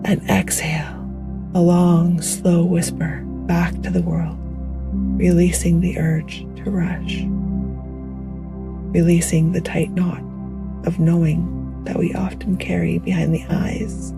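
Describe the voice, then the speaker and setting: A woman guiding a meditation in a gentle, hushed, poetic style, with a soft, breathy voice that often nears a whisper. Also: fairly low pitch, level tone, over music. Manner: gentle, hushed, unhurried, soothing. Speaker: meditation guide. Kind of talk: slow poetic guided meditation narration.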